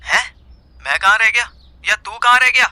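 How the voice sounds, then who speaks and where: A man's voice: extremely high pitch, moderate pace, bright, forceful tone, no room sound. A man narrating an audio story in the first person, colloquial and dramatic, mixing in slang. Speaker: narrator and host.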